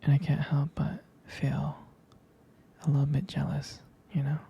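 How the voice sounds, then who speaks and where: A man playing a jealous, protective, devoted young boyfriend in an intimate ASMR roleplay, whispering hesitantly in a breathy, husky voice. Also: on the high side, monotone, muffled recording, heavily acted. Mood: tender, jealous. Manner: hesitant, slow, halting, soft-spoken.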